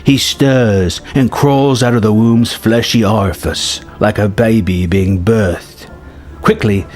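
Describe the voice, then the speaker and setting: A man reading a scripted story treatment aloud as narrator. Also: medium pitch. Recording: music behind the voice. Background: background music.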